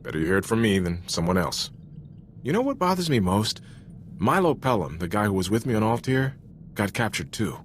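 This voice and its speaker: Earnest low-voiced male soldier, lively intonation. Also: brisk, slight background noise. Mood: confiding, troubled. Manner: conversational, sincere, informal military talk. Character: earnest, loyal male Republic soldier, frank and troubled. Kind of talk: cinematic video game voice acting.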